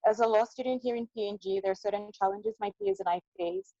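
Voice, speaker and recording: A young woman from Papua New Guinea, a law student, introducing herself in a brisk, earnest way over a video call. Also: medium pitch, fairly even intonation, warm tone, no room sound. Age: young adult. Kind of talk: self-introduction in a webinar. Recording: dull lo-fi call audio.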